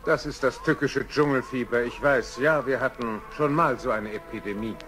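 A man's voice: medium pitch, normal speed, fairly bright tone, echoey room, natural voice. A man playing a thoughtful older animal adviser, telling the tale in a children's radio play.

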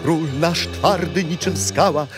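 An actor playing a mocking court jester who speaks in theatrical singsong rhymes for a children's radio play. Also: male voice, fairly high pitch, fairly even intonation, unhurried, music in the background, close to an everyday voice.

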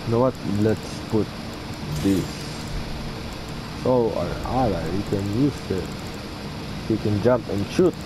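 A young man giving casual gameplay commentary, halting as he muses aloud, close to the mic. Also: fairly low pitch. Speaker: gaming video host. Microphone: mic placed right in front. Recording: steady background hum and noise.

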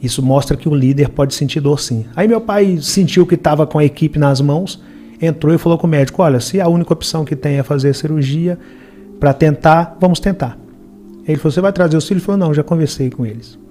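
A man's voice: fairly high pitch, fast, mellow, soft background music. A man in his forties with a Minas Gerais Brazilian Portuguese accent, telling his life story as a podcast guest, earnestly acting out the quoted voices of others. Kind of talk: personal life story told in a podcast interview. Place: studio with brick wall and purple lights. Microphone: studio microphone on a boom arm.

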